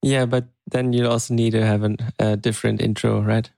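Guy chatting on a podcast, creaky voice, thinks as he goes, very dry recording. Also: fairly low pitch, flat delivery, a little fast, a little mellow, no echo. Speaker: podcast co-host. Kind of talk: casual chat.

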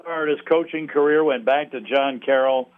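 A middle-aged man, a sports announcer, talks as an interview guest in a halting, wry, conversational way. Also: on the high side, fairly even intonation, smooth. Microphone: telephone. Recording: tinny phone line.

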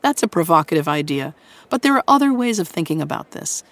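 A woman hosting a radio show, giving a scripted introduction to a story in a measured, articulate way with deliberate pauses. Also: lowish voice, very animated, dry recording.

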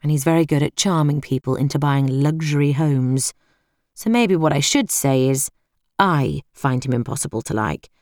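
Female audiobook narrator, British accent, low pitch, scripted first-person storytelling, very dry recording. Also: a little mellow, no echo.

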